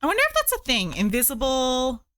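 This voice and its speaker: Bright-voiced woman chatting on a livestream. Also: high voice, huge pitch swings, a little fast, no room sound, studio quality. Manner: rambling, chatty, thinking aloud. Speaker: livestream host. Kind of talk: casual livestream chat while crocheting.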